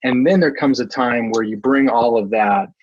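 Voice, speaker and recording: A man's voice: medium pitch, moderate pace, no echo. Thoughtful male host, dull dry sound. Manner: reflective, thoughtful advice. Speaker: podcast host. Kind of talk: conversational interview.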